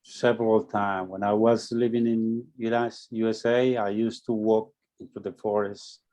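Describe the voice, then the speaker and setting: A man's voice: on the low side, level tone, normal speed, a little mellow. A man taking part in a class, reading aloud and speaking reflectively. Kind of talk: poem read aloud, brief reflective comments.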